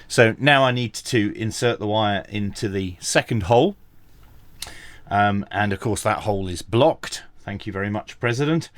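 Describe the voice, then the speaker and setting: Low-voiced man explaining methodically. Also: a bit bright, dry recording. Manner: methodical, thinking aloud with frequent pauses. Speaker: radio reviewer. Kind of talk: hands-on tech tutorial.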